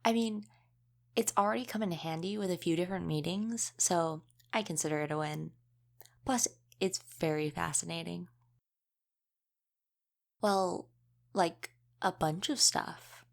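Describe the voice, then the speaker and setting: A woman's voice: fairly low pitch, unhurried, creaky, long pauses. A woman playing an easygoing young female wolf shifter in a casual audio roleplay, chatting and explaining things informally. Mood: chatty, informative.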